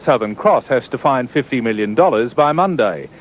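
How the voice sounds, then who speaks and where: A man's voice: medium pitch, moderate pace, a little hiss or hum. Matter-of-fact male news reporter. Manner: steady, matter-of-fact narration. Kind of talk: scripted news report voice-over. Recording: dull old broadcast tape.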